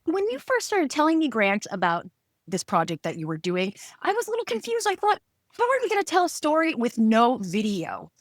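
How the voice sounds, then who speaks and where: Woman, podcast interview host, slightly high voice, very animated intonation, gushing, dry close sound. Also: brisk, dry recording. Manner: gushing, enthusiastic.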